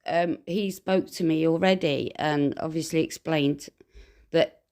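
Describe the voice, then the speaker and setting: A woman's voice: low-pitched, steady tone, a little slow, a little mellow. A British woman on a phone call giving a conversational account of a grievance, hesitant yet earnest and insistent. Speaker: complainant on a call.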